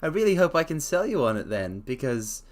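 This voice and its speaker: A man's voice: on the high side, big pitch swings, fairly quick. A man chatting on a live stream in a playful, theatrical manner, addressing his listeners as mortals. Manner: hums softly to himself between phrases. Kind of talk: casual live-stream chat.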